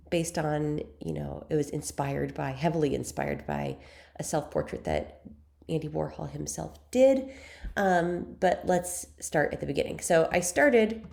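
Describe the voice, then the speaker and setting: Raspy congested woman with a low, gravelly voice. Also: a little mellow. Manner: chatty and self-deprecating, frequent ums. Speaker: photography tutorial host. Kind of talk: screen-recorded software tutorial.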